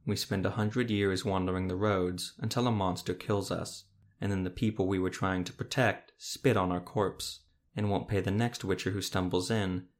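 Weary gruff hunter, read by a man, quiet, low. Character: weary gruff male witcher, monster hunter.

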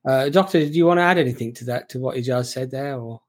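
A man's voice: medium pitch, fast. A man hosting a live call-in stream, casually moderating in a polite, hesitant, apologetic way. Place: online stream.

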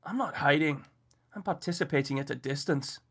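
A man's voice: fairly high pitch, brisk, fairly bright tone, breathy edge. A man doing a haughty mock-French detective. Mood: dry, amused. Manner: precise, formal, haughty diction. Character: fussy, aloof male detective with mock French accent.

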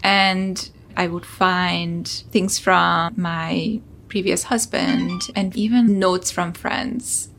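A woman's voice: on the low side, steady tone, drawn-out words, creaky, few pauses, a bit bright, soft background music. A woman from Hungary, a startup founder, telling an earnest personal story as a podcast guest.

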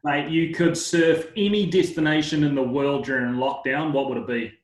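A man co-hosting, firing off quick questions in a brisk, upbeat way. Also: a little high, steady tone, roomy sound. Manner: brisk, upbeat, short replies. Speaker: co-host. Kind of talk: quick-fire interview questions.

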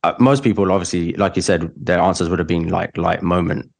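A man's voice: low voice, fairly even intonation, fairly quick, gravelly. A young man hosting a podcast, chatting casually and rambling with lots of "like". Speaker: podcast host, wedding photographer.

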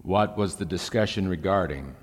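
A man's voice: deep voice, normal speed, husky. A man playing a smug, probing senator in an audio drama, formal, pointed and elaborately polite. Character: smug, probing male senator questioning a witness. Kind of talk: fiction podcast audio drama. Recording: echoey hall with long reverb.

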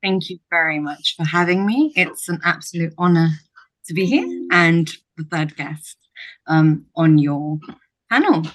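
A woman's voice: on the low side, expressive, measured pace, poor audio. A young adult woman from Kosovo, a hotel general manager, answering podcast interview questions in a reflective way with frequent ums.

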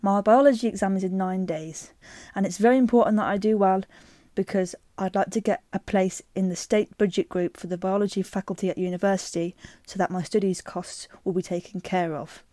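A woman co-hosting a podcast, chatting casually and reading a listener's email aloud, lively and quick to laugh. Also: mid-range voice, no room sound, clean studio sound. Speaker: podcast co-host.

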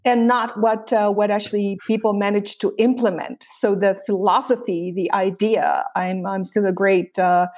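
A woman from Romania, a guest in a conversational interview, speaking reflectively with many pauses and restarts. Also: mid-range voice, level tone, darkish tone.

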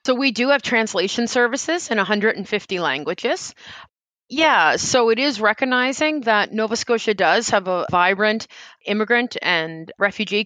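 A woman's voice: medium pitch, a bit bright, dry recording, low-quality recording. A woman representing a helpline, answering interview questions in an earnest, explanatory way with frequent "you know" fillers.

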